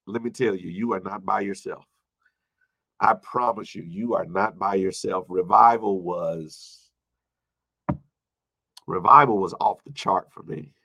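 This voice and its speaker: A man in his early fifties speaking as a pastor in an informal sermon, repeating phrases for emphasis and moving between loud and hushed delivery. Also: on the low side, vocal fry, dry recording. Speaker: pastor addressing an online congregation. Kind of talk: informal sermon with personal anecdotes and replies to viewer comments.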